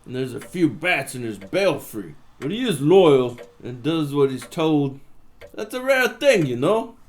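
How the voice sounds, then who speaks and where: A man's voice: a little high, big pitch swings, measured pace. A man playing a 1920s gangster boss, genial but menacing, talking in slangy wiseguy patter in a noir radio drama. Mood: breezy, hospitable.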